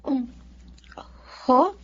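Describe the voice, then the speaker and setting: A woman's voice: extremely slow, muffled recording, a little hiss or hum. An actress playing a shy, respectful college-age Filipino woman in a radio drama. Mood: shy, unsure.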